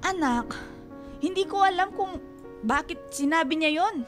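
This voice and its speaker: A fiercely protective Filipino mother in a radio drama. Her high voice is emphatic, exclamatory and pleading, with music playing underneath. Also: lively intonation, measured pace. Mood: outraged, worried. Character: fiercely protective, anguished mother of a grown daughter. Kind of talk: emotional Tagalog radio drama acting.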